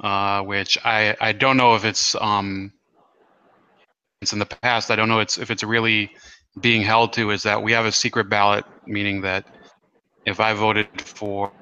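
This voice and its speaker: A man hosting an interview podcast, talking in a halting way with many fillers and restarts. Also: on the low side, level tone, sharp tone, clear, resonant tone, no echo, dull audio. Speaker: podcast host. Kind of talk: casual interview.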